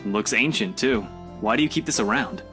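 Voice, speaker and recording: A man's voice: mid-range voice, normal speed, bright, forceful tone, close to an everyday voice. An actor playing a skeptical, mocking young man in a visual novel, blunt and dismissive in his delivery. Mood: teasing, curious. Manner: sarcastic, blunt. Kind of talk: dramatic visual novel voice acting. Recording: music under the dialogue.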